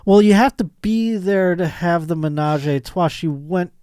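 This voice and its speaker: A man's voice: high-pitched, measured pace, a little mellow, smooth, no room sound, studio quality. A middle-aged man hosting a comedic podcast, chatting casually and reading out news with a mock-earnest, joking manner. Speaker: podcast host.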